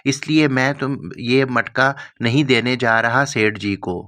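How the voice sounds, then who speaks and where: A man's voice: mid-range voice, unhurried, bright, forceful tone. A man voicing an earnest, grateful poor farmer in a cartoon story.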